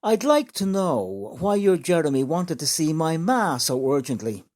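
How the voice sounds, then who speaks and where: A woman's voice: sits low, very animated, moderate pace, a little mellow. An actress playing a grumpy, resentful grown man, a sullen Dublin son, in a comedy radio drama. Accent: Dublin Irish. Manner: sullen, sardonic.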